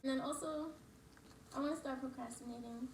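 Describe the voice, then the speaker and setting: A woman's voice: high voice, fairly even intonation, fairly quick, distant mic. A young woman in her late teens chatting casually with her partner on a couple's vlog, laid-back and assured. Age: young adult. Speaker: couple vlogger. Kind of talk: casual chat. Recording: echoey room, set back from the mic, background noise.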